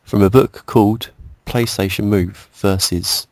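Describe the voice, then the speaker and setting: A man's voice: fairly low pitch, fairly even intonation, a little slow, darkish tone, no echo, clean studio sound. A man hosting a podcast in a casual, joking chat style. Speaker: podcast host.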